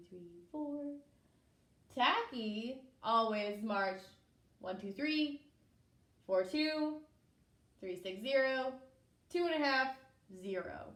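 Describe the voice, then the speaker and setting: A woman preschool teacher reading a story aloud to young children, expressively, pausing to explain and ask simple questions. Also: a little high. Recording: echoey room.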